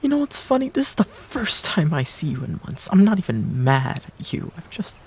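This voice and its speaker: A man acting a heartbroken ex-boyfriend in an intimate romantic audio roleplay, pleading in a halting, breathy, emotional way. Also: fairly high pitch, very animated, darkish tone, full character voice. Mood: tearful, pleading.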